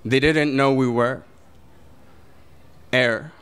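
Slow, deliberate young male slam poet. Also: medium pitch, fairly even intonation, fairly bright tone, clean tone. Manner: slow, deliberate, rising to intense declamation. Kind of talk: spoken word performance. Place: small brick-walled venue, indoors.

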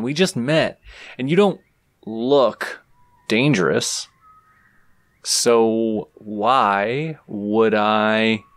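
A man's voice: mid-range voice, dry recording. A man playing an easygoing, teasing traveling swordsman in an audio roleplay, casual and reassuring with a cocky streak, talking straight to the listener. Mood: wry, reassuring.

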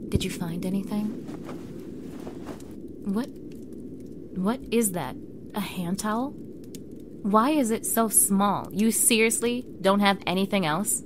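A woman's voice: mid-range voice, background noise, close to an everyday voice. A young woman playing a bossy, snippy mean girl in an acted audio roleplay, exasperated and sarcastic, speaking straight to the listener. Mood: impatient, sarcastic.

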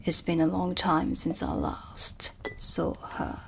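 Lady doing a dark witch for asmr, whispery n really slow, sardonic, calm, mysterious, right up on the mic. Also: on the low side, creaky, exaggerated voice. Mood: calm, skeptical. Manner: slow, deliberate, hushed, dryly teasing. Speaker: ASMR roleplay performer. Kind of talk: soft-spoken whispered ASMR roleplay. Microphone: close studio mic for ASMR.

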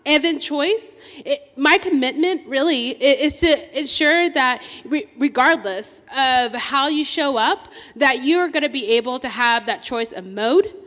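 A woman with a high voice speaks into a handheld microphone from a forum stage. She halts often, restarting phrases and filling gaps with "um." The sound carries a long reverb from the large hall. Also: animated, sharp tone. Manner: halting, many fillers and restarts. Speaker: school board candidate. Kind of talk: candidate forum statement.

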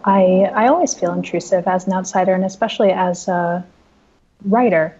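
Thirtyish woman author on a video call, lowish mellow voice, dull lo-fi sound, keeps restarting sentences. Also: normal speed. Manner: thoughtful, with frequent restarts and repeated words. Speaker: author guest. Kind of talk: remote interview answering reader questions. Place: home room with bookshelves. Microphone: video call with earbuds.

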